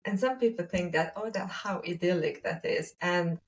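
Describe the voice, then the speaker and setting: A woman with a Hungarian accent in a reflective conversational discussion as a podcast co-host, speaking deliberately with pauses mid-sentence. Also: fairly low pitch, recorded from a distance. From Hungary, a small village.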